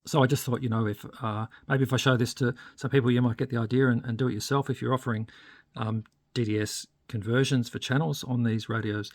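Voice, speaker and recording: A man with a mid-range voice talks through a hands-on demonstration as an electronics hobbyist. His delivery is informal and explanatory, often halting and pausing as he goes. The sound is dry, with very little room to it. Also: medium pitch, steady tone, dry recording.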